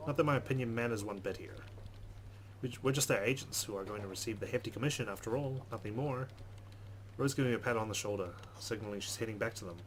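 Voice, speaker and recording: A man's voice: medium pitch, slight background noise. A man reading a visual novel aloud as a let's play host, slipping in his own asides.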